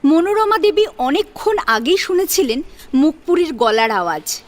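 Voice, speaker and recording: A woman's voice: high-pitched, very animated, moderate pace. A woman narrating a story in a dramatic audiobook style. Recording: sitar music behind the voice. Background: plucked sitar music.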